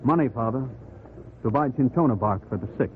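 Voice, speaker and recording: Man playing a proud, guarded trader, animated pitch. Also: brisk, narrow, tinny audio, close to an everyday voice. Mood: guarded, curt. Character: proud, guarded colonial trader nursing a hatred. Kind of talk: 1940s radio acting. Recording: noisy, thin 1940s radio recording.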